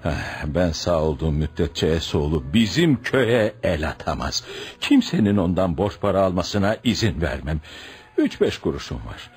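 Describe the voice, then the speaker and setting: An actor in a Turkish radio play as a stubborn, pious older village hodja and father, speaking emphatically and deliberately in proverbs. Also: sits low, very animated, darkish tone, breathy edge, heavily acted. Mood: calm, resolute. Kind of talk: Turkish radio theatre acting.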